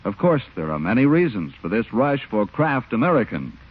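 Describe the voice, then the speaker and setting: A man's voice: medium pitch, sing-song, normal speed, breathy edge. A man reading scripted announcements on 1940s radio in a polished, emphatic salesman's delivery. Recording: tinny, narrow-band 1940s radio recording.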